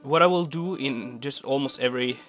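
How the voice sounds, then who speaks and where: A young man from Norway, raspy and stuffy from a cold, rambling easily with many fillers while commenting on a building game. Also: mid-range voice, a little fast. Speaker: Minecraft builder. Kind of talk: casual gameplay commentary. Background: soft guitar music.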